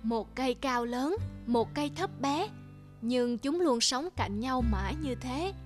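Woman as elderly monk teacher, patient, instructive, unhurried, cartoon acting, music behind. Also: high voice, lively intonation. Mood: gently teaching. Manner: measured, instructive. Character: wise, patient old male monk master. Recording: music under the voice.

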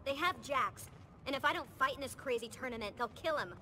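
An actress playing a no-nonsense young soldier in a game, brusque and defiant. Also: female voice, high voice, fairly quick, heavy background noise, close to an everyday voice. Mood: terse, exasperated. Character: tough, no-nonsense young female special forces soldier.

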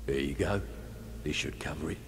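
Low-voiced thieves' guild fixer. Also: male voice, moderate pace. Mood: conspiratorial. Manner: blunt, colloquial, streetwise. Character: gruff, shrewd middle-aged male thieves' guild fixer. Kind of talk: fantasy video game dialogue acting. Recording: noisy game capture with ambience.

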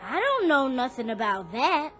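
A woman's voice: sits high, very animated, normal speed, a bit bright, soft background music. A woman playing an earnest, pleading young boy in a radio drama, with simple childlike speech. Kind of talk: dramatic radio play acting.

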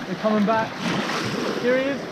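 A man's voice: sits high, moderate pace. A young Australian man hosting a fishing vlog, laid-back and slangy, explaining each step. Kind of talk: casual outdoor how-to. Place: ocean beach outdoors, in the shallows. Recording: loud surf noise behind.

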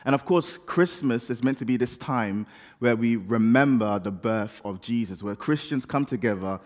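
A man's voice: mid-range voice, moderate pace. A man with a slight sore throat and cough, preaching a conversational reflection as pastor in a large church. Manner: casual, conversational preaching with fillers. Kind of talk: sermon reflection to a congregation. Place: large church hall, indoors. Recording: long church reverb.

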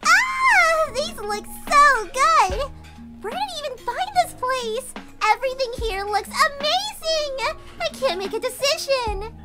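A bubbly teenage anime girl, squeaky high and swooping. She gushes in drawn-out, excitable squeals over loud music. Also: exaggerated voice. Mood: excited. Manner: gushing, squealing. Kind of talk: anime comic dub acting. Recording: music behind the voice.

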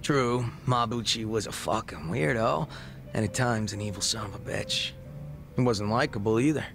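A man voicing a laid-back, wistful gang leader in his thirties in a video game, reflective, unhurried and casually profane, with a gravelly voice. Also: lowish voice, music in the background. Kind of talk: video game cutscene dialogue acting.

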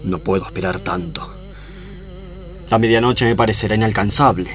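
A man's voice: lowish voice, normal speed, lightly acted. An actor playing a proud, lovesick tough guy in a tango radio play, with an Argentine accent and poetic, dramatic delivery. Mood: pleading. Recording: music behind the voice.

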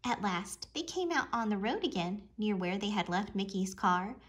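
A woman reading a children's storybook aloud with expressive, deliberate storytelling. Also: mid-range voice. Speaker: storybook reader. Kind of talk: children's read-aloud narration.

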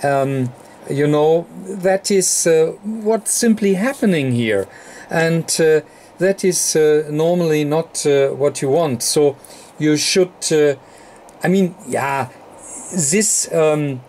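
A man with a non-native English accent giving an unscripted technical explanation as a tutorial presenter, haltingly, with very frequent ums and uhs. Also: a little high, darkish tone. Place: indoor electronics workbench. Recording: clear background noise.

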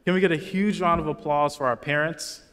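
A young man from Chicago speaks at a lectern, his voice sitting a little high for a man. He is earnest and chatty, throwing casual asides to the crowd. The sound rings with the long reverb of a big auditorium. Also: steady tone, fairly quick, heavy background noise. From Chicago, USA. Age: young adult. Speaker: student commencement speaker. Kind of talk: commencement speech. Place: large auditorium stage. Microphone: lectern microphone.

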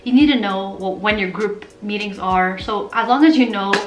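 A woman's voice: mid-range voice, normal speed, dull audio, background noise. Chatty young woman in an echoey room. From Portugal. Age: young adult. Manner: chatty, animated, gestures with hands while talking. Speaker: vlogger. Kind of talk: casual talking-head vlog.

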